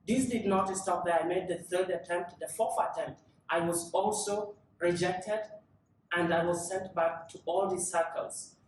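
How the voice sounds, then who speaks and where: A man in his twenties from South Sudan, giving an earnest, measured testimony as a panel speaker with deliberate pauses. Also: sits high, steady tone, slight background noise. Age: 20s. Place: large conference room. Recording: echoey, distant conference room recording.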